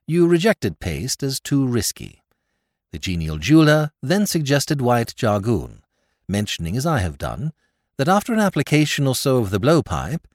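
Man doing a cool, sarcastic young American in a storybook, lively delivery, dry sound. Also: medium pitch, no echo. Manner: drawling, coolly sarcastic. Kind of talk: audiobook character voice.

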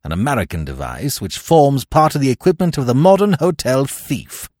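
A man's voice: low voice, sing-song, unhurried, slightly rough, no room sound, clean studio sound, exaggerated voice. A man voicing an irritable, commanding male investigator in an audiobook story, snapping out demands with fierce intensity.

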